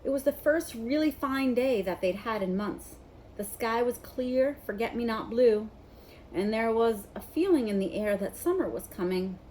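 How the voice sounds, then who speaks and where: A woman's voice: a little high, a little mellow, a little hiss or hum, poor audio. A woman narrating a children's novel, reading it aloud as a storybook.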